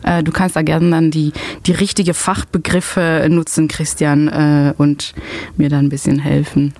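A woman's voice: low-pitched, level tone, unhurried, a little mellow, dry recording. A woman who is not a native German speaker, hosting a radio talk show from a small padded studio, talking in a hesitant way with many fillers. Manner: hesitant, many fillers, laughs at own word choices. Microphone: studio microphone.

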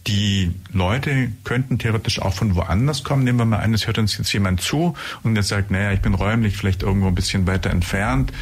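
A man's voice: lowish voice, a little fast, hardly any pauses, husky. A man conducting a conversational interview, who rambles and often searches for words with fillers. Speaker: radio interviewer.

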